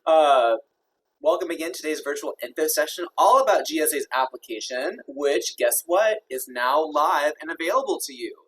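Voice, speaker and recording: A man in his thirties with a somewhat husky voice hosts a virtual info session over a video call. He sounds upbeat and friendly, with plenty of ums and uhs. He is a little distant from the mic. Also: a little high, animated, moderate pace. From Kentucky. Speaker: executive director hosting an info session.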